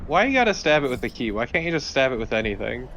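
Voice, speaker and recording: A man's voice: mid-range voice, sing-song, fast talker, fairly bright tone, slight background noise. A man co-commentating on a video game in casual, wry banter, often questioning and arguing. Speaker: let's play co-commentator.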